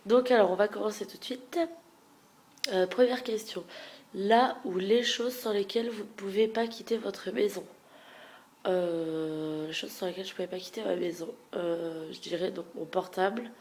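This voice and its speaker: A young woman with a stuffy head cold and a nasal, congested voice, chatting frankly through a question-and-answer video and often trailing off into soft murmurs. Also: mid-range voice, normal speed. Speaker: beauty vlogger. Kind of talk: casual Q&A tag video.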